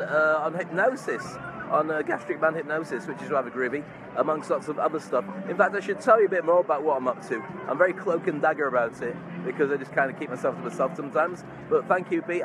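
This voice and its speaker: A middle-aged man chatting casually and effusively to his followers in a live vlog, speaking into a phone held at arm's length. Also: on the high side. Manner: effusive, chummy. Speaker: vlogger, entrepreneur and stage hypnotist. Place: large open park outdoors among crowds. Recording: noisy outdoor night crowd ambience.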